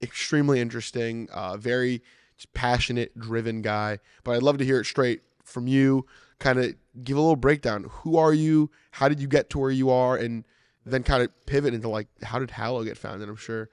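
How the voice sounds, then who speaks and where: A young man hosting a podcast, relaxed and encouraging as he asks his guest questions. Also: medium pitch, a little fast, clean tone, dry recording, clean studio sound. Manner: relaxed, encouraging, lots of short affirmations. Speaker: podcast host. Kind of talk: casual interview.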